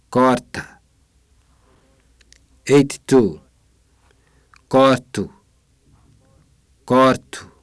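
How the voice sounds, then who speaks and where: A man reading a numbered list of single words aloud in a deliberate way. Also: mid-range voice.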